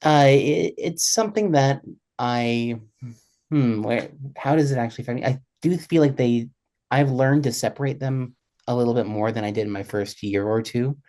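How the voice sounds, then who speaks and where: A man's voice: medium pitch, normal speed, a little mellow. A man speaking as a panelist in an unscripted discussion, thinking aloud and rephrasing as he goes. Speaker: panelist, radio broadcaster.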